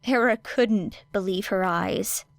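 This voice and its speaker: A woman's voice: mid-range voice, slow pace, gravelly, no echo. A woman reading scripted story narration as an audiobook narrator.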